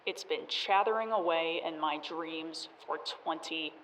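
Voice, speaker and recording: A woman's voice: low-pitched, measured pace, a bit bright, recorded from a distance, poor audio. A woman playing a bitter, vengeful wasteland survivor in an audio drama, speaking colloquially and with menace. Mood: haunted, bitter.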